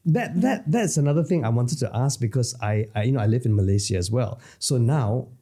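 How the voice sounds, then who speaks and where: A man's voice: mid-range voice, lively intonation, brisk, warm tone. A man from Singapore, hosting a casual podcast chat with rambling, joking asides. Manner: chatty, rambling, jokey asides. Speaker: podcast host. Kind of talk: casual conversation.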